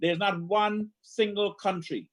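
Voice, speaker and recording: A man's voice: sits high, steady tone, slow. A man with a Guyanese accent speaking earnestly and emphatically as a panel guest, repeating phrases as he goes. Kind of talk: political panel discussion.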